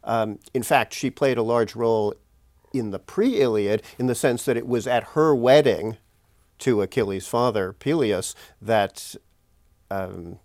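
A man with a mid-pitched voice talks like a classics scholar being interviewed. He explains in an erudite way, qualifying and correcting himself as he goes. The sound is close and dry, with very little room. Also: a little slow, darkish tone, no room sound.

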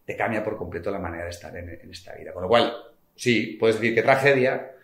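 A man's voice: on the low side, brisk. Emphatic man in his forties. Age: 40s. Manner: passionate, emphatic, colloquial, with swings in loudness. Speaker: guest author. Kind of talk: reflective podcast conversation. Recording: echoey room.

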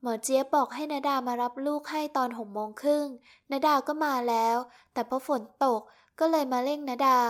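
An overworked young woman pleads and apologizes in an audiobook scene, as a woman narrator puts her on. The voice is somewhat high and close to the reader's own. Her tone is meek and pleading. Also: natural voice. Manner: pleading, apologetic, rising to outbursts. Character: harried young woman assistant, meek then bursting out.

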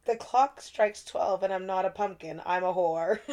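A woman's voice: mid-range voice, unhurried, darkish tone. Young woman, podcast co-host, loose and giggly, salty language. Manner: laughs often, swears casually. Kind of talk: casual chat.

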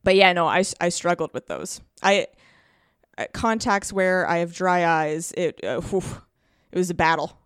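A woman's voice: lowish voice, unhurried, smooth, no room sound, studio quality. A woman guest on a podcast, chatting casually and retelling things in an emphatic way. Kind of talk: casual chat.

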